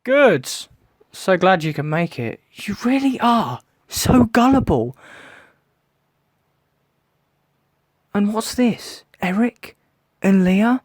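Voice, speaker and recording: A man's voice: high voice, big pitch swings, takes it slowly, dry recording, heavily acted. A man playing a menacing, grandiose villain in an audio drama, gloating and taunting with theatrical cruelty. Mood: gleeful threat. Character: menacing theatrical male villain lurking in the shadows, gloating sadist.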